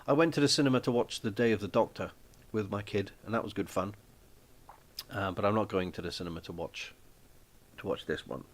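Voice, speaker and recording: A man hosting a live stream from his small flat, chatting casually and rambling with lots of ums and restarts. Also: lowish voice, a little fast. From Wales.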